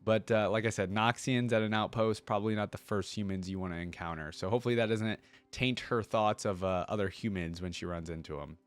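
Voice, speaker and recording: A man's voice: lowish voice, fairly even intonation, brisk, few pauses, clear, resonant tone. A man giving casual, off-the-cuff reaction commentary as the host of a video. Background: music from videos being watched.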